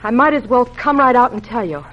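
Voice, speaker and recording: An actress playing a scheming, sharp-tongued ranch woman in an old radio western, with an American accent. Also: on the high side, animated, fairly quick, close to an everyday voice. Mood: confiding. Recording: dull, noisy old radio recording.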